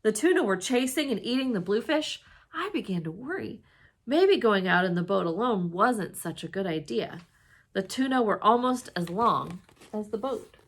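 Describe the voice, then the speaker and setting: Animated woman reading a children's story aloud. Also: mid-range voice, normal speed. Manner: dramatic, with emphatic exclamations and hushed suspense. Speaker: storybook reader. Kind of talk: expressive children's read-aloud narration.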